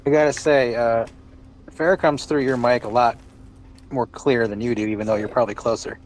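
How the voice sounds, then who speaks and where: A man with an ordinary mid-range voice chats casually. He speaks haltingly and often repeats a word before he gets to the point. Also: medium pitch, fairly even intonation, a little hiss or hum. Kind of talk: casual chat.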